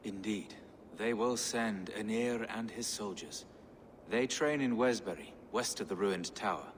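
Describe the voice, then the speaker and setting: A man's voice: lowish voice, unhurried, lightly acted. A man playing a pious, pleading, anxious bishop in a video game, earnest and formal. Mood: weary, grave. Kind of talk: dramatic video game acting. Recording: reverberant hall, faint noise.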